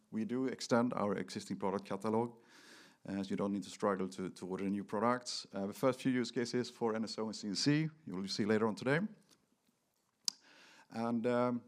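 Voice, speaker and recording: Low-pitched man presenting tech. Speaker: conference presenter. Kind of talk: technical product presentation to an audience.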